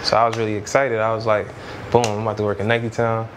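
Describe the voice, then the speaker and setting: A man's voice: on the low side, monotone, fast, background noise. A man in his thirties from Trinidad, raised in Brooklyn, telling stories in a loose, rambling interview way. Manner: loose, rambling, lots of "you know what I mean". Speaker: music executive guest. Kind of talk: casual interview storytelling.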